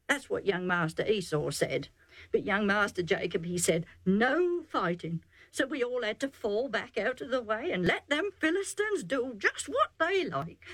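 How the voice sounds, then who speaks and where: A woman voicing a rough, excitable male herdsman who pleads urgently in rustic dialect. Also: a little high, dry recording, pitched above the performer's own voice, exaggerated voice, rough recording.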